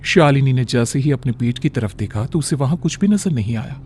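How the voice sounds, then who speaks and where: A man's voice: mid-range voice, animated, a little fast, a little mellow, slight background noise. A man narrating a scripted story in a dramatic storytelling style.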